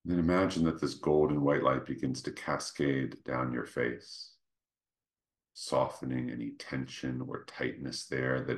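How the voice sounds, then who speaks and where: A man leading a guided meditation, speaking gently and without hurry, in short phrases with pauses between them. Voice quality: very deep, creaky. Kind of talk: guided meditation, slow soothing instruction.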